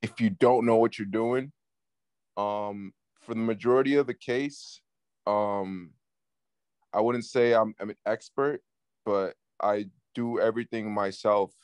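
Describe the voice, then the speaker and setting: A man from Peru who grew up partly in New York, giving casual interview answers as a music artist, haltingly and with repeated words. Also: on the low side, steady tone, darkish tone, smooth, no echo. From Peru, moved to the US at six, based in New York City. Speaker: guest, up-and-coming Latin music artist.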